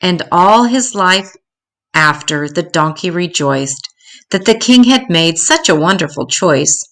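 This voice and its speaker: Older lady reading a rhyming kids story out loud, really slow and expressive. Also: on the low side. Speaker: children's storybook reader.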